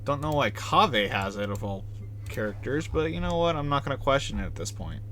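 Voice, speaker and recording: Male game streamer, very animated mid-range voice, rambling off-the-cuff chat, faint noise behind him. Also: mid-range voice, brisk. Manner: rambling, thinking aloud. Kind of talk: casual livestream gameplay chat.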